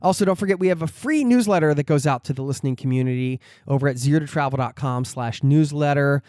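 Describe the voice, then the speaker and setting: A man's voice: on the high side, fast, a little mellow, no echo, clean studio sound. A man hosting a travel podcast, reading a friendly intro and then chatting casually with his guest. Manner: upbeat, friendly, joking with guest. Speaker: podcast host.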